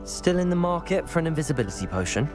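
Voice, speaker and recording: Polite, animated young wizard. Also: male voice, fairly high pitch, quick pace, music in the background. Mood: calm, skeptical. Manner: courteous, reasoning, gently skeptical. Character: polite, level-headed young male wizard student. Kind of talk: video game dialogue acting.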